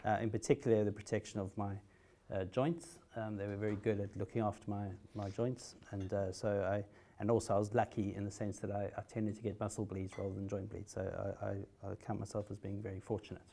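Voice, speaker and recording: A man's voice: on the low side, flat delivery, fairly quick, vocal fry, mellow, slight background noise. A middle-aged South African man with a South African accent, giving a hesitant conference talk as a patient, full of fillers and restarts, with a wry humor. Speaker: patient speaker.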